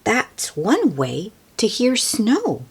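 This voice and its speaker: A woman's voice: a little high, huge pitch swings, extremely slow, a little mellow, slightly rough, pitched above the performer's own voice, full character voice. A woman voicing an eager, caring young girl from a picture book, earnest and full of wonder.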